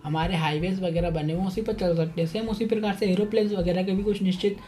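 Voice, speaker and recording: Mellow, soft-toned male explainer. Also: high voice, steady tone, fast talker. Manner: explanatory, repetitive, addresses listeners as friends. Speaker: educational video presenter. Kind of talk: informal explainer narration. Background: faint music.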